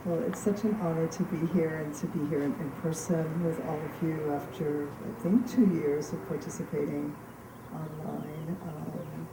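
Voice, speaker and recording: A woman's voice: low-pitched, flat delivery, normal speed, vocal fry. A woman from Cuba, a guest speaker addressing a live gathering in a reflective way with frequent ums. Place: community hall. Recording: echoey hall, distant mic, noisy.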